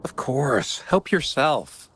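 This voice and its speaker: A man's voice: medium pitch, sing-song, takes it slowly, fairly bright tone, slightly rough, exaggerated voice. A man playing a genial, gossipy merchant in a video game, talking in a familiar, theatrical way. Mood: matter-of-fact. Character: chatty, genial male pigment and ore merchant.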